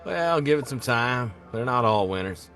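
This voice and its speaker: A man acting out a cocky, ribbing fellow in a crime film script. His voice is mid-pitched and conversational. He needles people with sly, casual confidence. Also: lively intonation, normal speed, fairly bright tone, soft background music. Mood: teasing. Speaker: actor at a table read. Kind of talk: film script table read.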